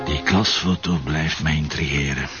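An actor playing an insistent, businesslike Flemish police inspector in a TV crime drama. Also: male voice, very deep voice, fairly even intonation, normal speed, over music. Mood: pondering. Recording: dull TV soundtrack, noisy ambience.

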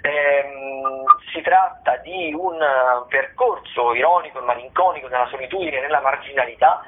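A man's voice: medium pitch, moderate pace, a little hiss or hum. A man hosting a radio show, talking through event listings informally, with hesitant, discursive, improvised phrasing. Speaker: radio theatre show host. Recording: thin, band-limited telephone-like radio sound.

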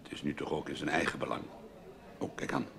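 An actor playing a cynical, gossipy audience member muttering wry asides in a radio play. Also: male voice, a bass voice, lively intonation, fast talker, creaky, a crowd in the background, heavily acted. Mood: scornful. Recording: murmuring hall audience behind.

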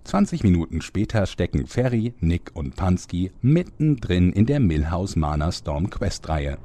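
Deep, dark-voiced male narrator. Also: big pitch swings, unhurried. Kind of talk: scripted narration.

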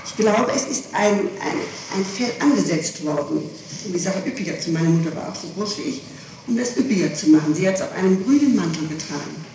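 A woman answering questions in a police interrogation, haltingly and with repeated words as she explains. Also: on the low side, animated, mellow. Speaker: person being questioned by police. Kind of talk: interrogation testimony. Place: reverberant room, taped police interrogation. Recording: echoey, noisy old tape, distant mic.

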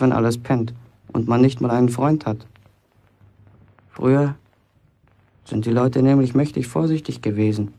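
Man playing a cocky young apprentice, gravelly voice. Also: mid-range voice, mellow, natural voice. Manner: boastful, chatty inner monologue, colloquial. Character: boastful teenage village apprentice bricklayer, self-important, eager to impress. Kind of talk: German radio play acting, first-person monologue.

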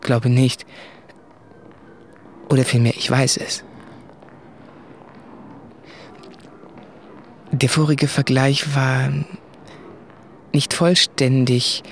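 An actor playing an anguished, introspective writer in a literary radio drama, confessing in a halting, brooding way. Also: male voice, medium pitch, little pitch movement, slight background noise. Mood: pained, brooding. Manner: slow, halting, brooding, with deliberate pauses.

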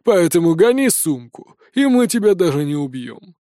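A man voicing a crude, mocking bandit leader with a booming, threatening manner in a fantasy audiobook. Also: big pitch swings, normal speed, mellow, no room sound, studio quality. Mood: scornful. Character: gruff menacing male bandit chief, crude and mocking.

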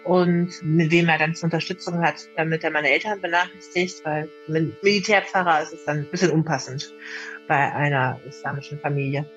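A woman's voice: low voice, steady tone, a little fast. A woman giving a spontaneous personal account in an interview as a soldier. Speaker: military observer being interviewed. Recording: slightly dull recording over faint music.

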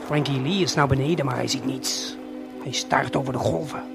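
Man playing a nosy male lookout for an audio play: low-key, confiding, creaky, halting eyewitness commentary. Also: steady tone, over music. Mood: curious, puzzled. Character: excited, conspiratorial male lookout spying through binoculars.

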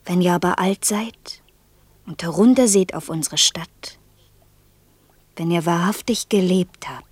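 An actress playing a gentle, pensive, earnest woman in a quiet radio play, speaking in a breathy, lyrical, hushed storytelling manner. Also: on the low side, no room sound. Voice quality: breathy, husky. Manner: hushed, slow, lyrical storytelling that slips into near-whisper. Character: gentle, pensive woman telling a fairy tale, gravely earnest. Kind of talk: quiet, intimate German radio play acting.

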